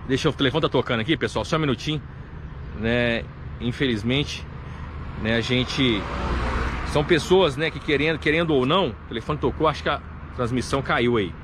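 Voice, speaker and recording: A man from gives a live local news update beside a highway at night, talking earnestly into a phone camera held at arm's length and leaning on tag words like "né" and "tá". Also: fairly quick. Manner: earnest, repetitive, tag questions "né" and "tá". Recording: faint traffic noise outdoors.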